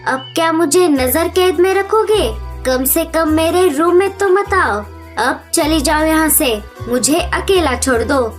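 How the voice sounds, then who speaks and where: Woman doing spoiled bird princess for kids cartoon, really high n whiny, draws words out, bit of music under. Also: slow. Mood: petulant. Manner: petulant, whiny. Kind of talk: Hindi children's cartoon dialogue.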